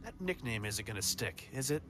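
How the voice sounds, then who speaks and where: A man's voice: medium pitch, expressive, moderate pace, over music, natural voice. A man playing a cocky, reckless superpowered fighter in an audio drama, brash and joking. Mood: playful. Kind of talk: scripted audio drama acting.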